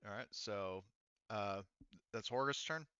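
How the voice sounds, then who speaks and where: Male game master, casual and sweary, low clean voice, dry slightly muffled sound. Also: takes it slowly, pushed and bright, smooth, dry recording. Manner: casual, profane. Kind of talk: improvised narration and rulings for a tabletop roleplaying game.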